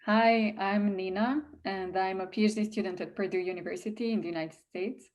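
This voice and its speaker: A woman's voice: mid-range voice, fairly even intonation, fairly quick, darkish tone, muffled recording. A young woman from Serbia, explaining research informally as an interview guest. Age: young adult.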